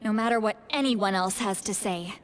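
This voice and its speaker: A woman's voice: mid-range voice, normal speed, close to an everyday voice. An actress voicing a proud, long-lived female shaman teacher in a video game, dry, self-assured and teasing. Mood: wry, resigned. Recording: hall reverb over music.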